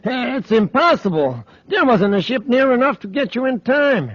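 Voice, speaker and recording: An American actor playing a melodramatic, incredulous villain in a 1950s radio serial, speaking emphatically. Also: male voice, very high-pitched, sing-song, normal speed, no echo, full character voice. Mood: incredulous, agitated. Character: cornered male villain, incredulous, agitated and disbelieving. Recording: muffled old 1950s radio recording.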